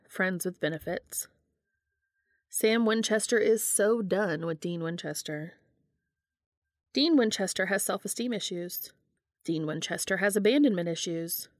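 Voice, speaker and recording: A woman hosting a podcast, reading fan fiction aloud in a steady, even storytelling manner. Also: medium pitch, dry recording, studio quality. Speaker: podcast host and reader.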